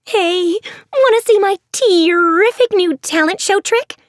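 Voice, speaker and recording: A woman voicing a zany, excitable young girl who speaks in a giddy, whimsical way. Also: very high voice, a little slow, no room sound, clean studio sound, pitched above the performer's own voice.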